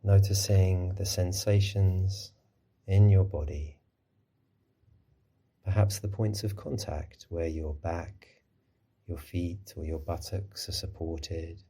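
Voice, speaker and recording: Low, mellow, husky man guiding meditation. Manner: gentle, unhurried, soothing instruction. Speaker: mindfulness teacher. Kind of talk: guided body scan meditation.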